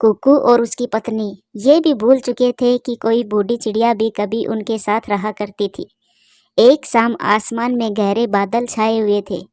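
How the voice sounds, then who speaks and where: A woman's voice: fairly high pitch, a little mellow, no room sound, clean studio sound. A woman narrating a scripted cartoon story.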